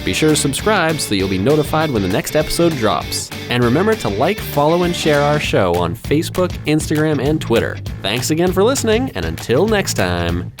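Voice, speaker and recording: A man's voice: a little high, a little fast, hardly any pauses, music in the background. A man co-hosting a casual podcast, playfully quizzing his co-host with trivia questions. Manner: lively, playful quizmaster.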